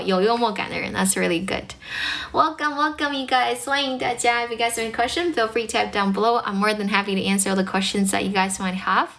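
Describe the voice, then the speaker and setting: Bright-voiced young Chinese woman streaming. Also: mid-range voice. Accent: Chinese, North American-tinged English. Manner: chatty, fast-talking, laughs often, mixes in Chinese. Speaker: English-speaking livestream host. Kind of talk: casual livestream chat with viewers.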